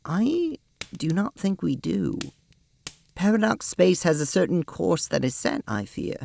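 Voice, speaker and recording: A woman's voice: fairly low pitch, big pitch swings, unhurried, vocal fry, warm tone, heavily acted. A woman playing a prim, fatalistic advisor who speaks formally and precisely in an audio drama. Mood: resigned, earnest. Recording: faintly noisy mic with clicks.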